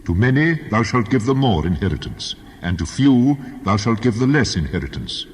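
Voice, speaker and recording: A man's voice: fairly low pitch, big pitch swings, normal speed. A man playing God in a dramatized Bible reading, solemnly declaring law with authority, his voice in a cavernous reverb. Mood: solemn, commanding. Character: God, commanding male deity giving law to Moses. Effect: long cavernous reverb. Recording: long hall reverb, clear noise behind.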